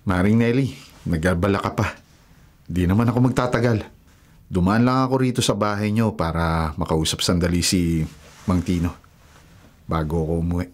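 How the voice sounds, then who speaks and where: A man's voice: low voice, normal speed, pauses often. A man playing an uneasy, respectful family friend, earnest and a little hesitant, in a Filipino radio drama. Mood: polite, serious.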